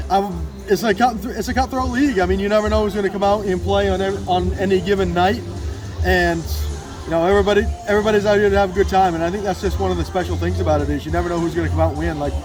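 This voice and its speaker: A man's voice: high voice, steady tone, fast talker, low-quality recording. A man in his twenties giving a casual, enthusiastic sports interview as a team announcer. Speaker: PA announcer and radio producer for a baseball team, interview guest. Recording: outdoor recording with music behind.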